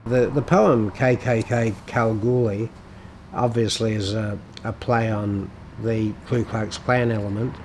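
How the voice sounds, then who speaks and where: Man, low monotone, deliberate formal phrasing, reflective commentary, noisy recording. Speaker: poet and lawyer discussing his own work. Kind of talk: reflective spoken commentary on poetry.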